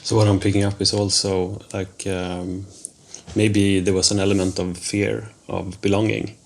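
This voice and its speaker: A man with a very low, gravelly voice leads a reflective chat as interviewer. He is gentle and slightly hesitant, summing up thoughts in a casual way. There is faint noise behind him. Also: little pitch movement, a little slow. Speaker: podcast interviewer.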